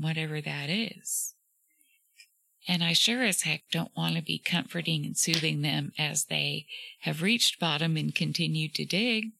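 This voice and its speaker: Low soothing woman's voice, lots of vocal fry, slow and gentle, dry close sound. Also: no room sound. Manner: gentle, faith-tinged, reflective with fillers. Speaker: podcast host. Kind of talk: reflective solo podcast talk.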